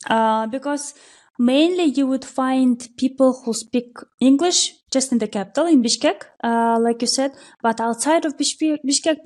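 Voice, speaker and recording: A woman from Kyrgyzstan speaks as a podcast guest, a local tour operator. Her voice sits fairly high and sounds mellow. She explains things patiently, with plenty of "uh" and "like" along the way. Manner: explanatory, many fillers, steady flow. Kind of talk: conversational interview answers. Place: podcast recording.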